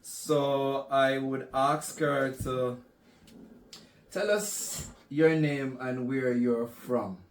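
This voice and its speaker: A man hosting an interview, asking questions in an unhurried way with brief murmured replies. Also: mid-range voice, steady tone, slight background noise. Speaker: podcast host. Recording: echoey room, distant mic.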